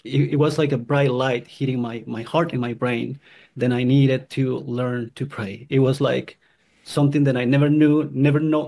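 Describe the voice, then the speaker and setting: Gravelly guy from guatemala, earnest, keeps restarting his sentences. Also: medium pitch, a little mellow. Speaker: convert sharing his story. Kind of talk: personal testimony.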